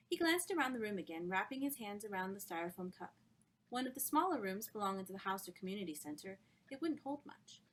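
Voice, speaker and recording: A woman's voice: mid-range voice, fairly bright tone, slight background noise, low-quality recording. Woman reading her story aloud expressively. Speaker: author reading own book.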